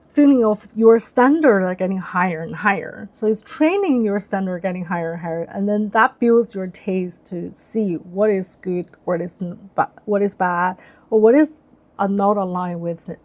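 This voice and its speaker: A young woman whose first language is Chinese chats through a live stream in English. Her pitch sits in a middle range. She talks thoughtfully and keeps pausing and rephrasing mid-sentence as she works out her ideas. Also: darkish tone. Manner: thoughtful, searching for words, frequent restarts. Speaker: livestream host. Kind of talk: casual live-stream chat.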